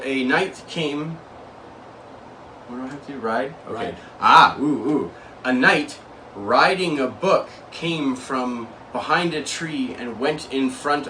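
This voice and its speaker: A man's voice: medium pitch, fairly bright tone. Man telling a story over a board game. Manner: playful, drawn-out dramatic storytelling with bursts of emphasis. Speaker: co-host. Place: small room indoors. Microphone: far camera mic. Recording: distant camera mic, faint room noise.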